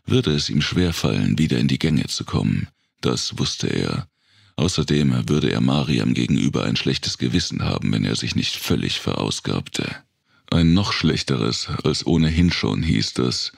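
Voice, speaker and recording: A man narrating a dark thriller audiobook in a gravelly, raspy voice, with measured, dramatic storytelling. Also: extremely low pitch, animated, no echo, studio quality.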